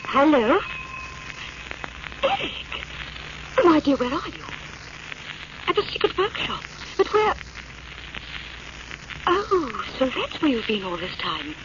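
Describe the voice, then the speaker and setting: Anxious wife, noisy playback. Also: female voice, high voice, sing-song, slow, pauses often, heavily acted. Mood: anxious, tender. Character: anxious loving wife on a recorded phone call. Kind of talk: 1940s radio drama acting. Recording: muffled, noisy dictaphone playback.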